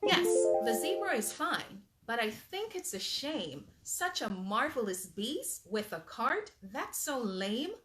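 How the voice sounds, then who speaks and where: A woman's voice: a little high, slow, hardly any pauses, soft background music. A woman hosting a storytime, reading a picture book aloud expressively to children with patient, motherly asides. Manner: animated, patient parental asides.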